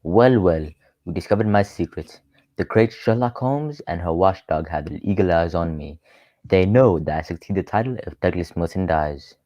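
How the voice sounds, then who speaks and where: A man playing a smug, scheming gentleman villain in a radio mystery, taunting with mock politeness. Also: low voice, normal speed, no echo, close to an everyday voice, rough recording. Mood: breezy, sardonic. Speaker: actor in a student radio drama. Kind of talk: old-time radio mystery acting.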